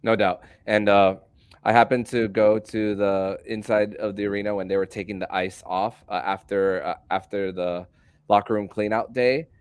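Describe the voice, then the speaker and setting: Low-voiced male podcast host, dry sound. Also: fairly even intonation, normal speed, smooth, no room sound. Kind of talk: conversational sports podcast hosting.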